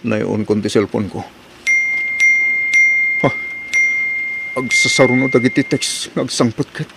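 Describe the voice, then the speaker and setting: A man's voice: mid-range voice, a little hiss or hum. A man playing a worried, bewildered husband in an Ilocano radio drama, speaking hesitantly as if thinking aloud. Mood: heartbroken. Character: worried, bewildered husband confiding in his mother.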